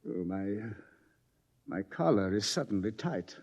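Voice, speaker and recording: An actor playing a rational scientist under mounting strain in a 1950s radio drama, deliberate and tense. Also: male voice, lowish voice, lively intonation, a little mellow. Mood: uneasy, reasoning. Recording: muffled, reverberant vintage radio recording.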